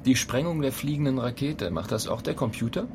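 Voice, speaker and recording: An impatient, sardonic man, played by an actor in a radio drama, quizzing a machine. His voice sits in a middle range, with curt, needling phrasing. There is clear ambient noise behind him. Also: mid-range voice, expressive, a little fast, close to an everyday voice. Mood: inquisitive. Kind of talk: radio play dialogue.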